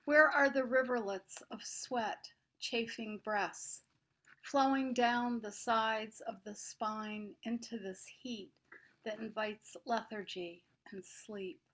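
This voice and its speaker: A woman's voice: on the high side, fairly even intonation, takes it slowly, fairly bright tone, low-quality recording. A woman poet reciting verse. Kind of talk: poetry recitation. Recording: faint background noise.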